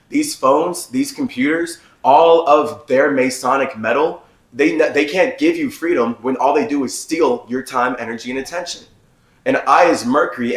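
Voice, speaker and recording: Male vlogger, mid-pitched and raspy, voice half gone, agitated run-on rant, roomy echo on the recording. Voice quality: raspy, strained voice. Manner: heated, ranting, with run-on sentences. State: losing his voice. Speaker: vlogger host. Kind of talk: agitated monologue to camera. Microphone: phone.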